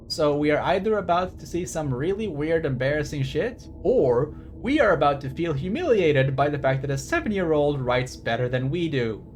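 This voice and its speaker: A man streaming a game, giving casual live commentary in a rambling, repetitive way. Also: a little high. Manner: rambling, repetitive, sweary reactions. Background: game music.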